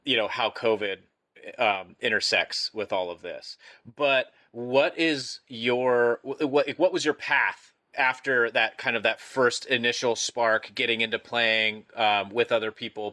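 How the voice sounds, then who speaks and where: A man's voice: mid-range voice, fairly even intonation, unhurried, sharp tone, dry recording. A male host asking a long, hesitant question with restarts. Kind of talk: interview question.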